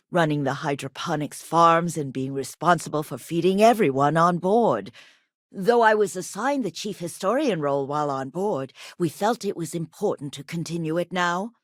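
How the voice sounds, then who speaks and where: Casting: earnest colony-ship historian and teacher, gracious and articulate, lowish voice, very animated delivery, video game, close dry sound. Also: female voice, no echo. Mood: friendly, eager. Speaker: video game character voice actor. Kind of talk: scripted game character dialogue.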